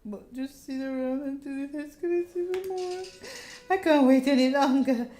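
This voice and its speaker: A woman's voice: sits high, moderate pace, dark tone, echoey room, slight background noise, pitched above the performer's own voice, natural voice. Woman acting out an anxious, desperate young-lady client. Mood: desperate, agitated. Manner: urgent, emotional pleading. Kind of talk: visual novel character read-aloud.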